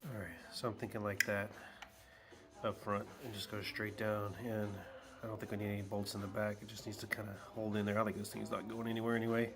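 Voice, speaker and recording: A man's voice: on the low side, flat delivery, quick pace, a crowd in the background. A middle-aged man narrating a home repair step by step, casual and joking as he thinks aloud while working in his garage. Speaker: DIY video host.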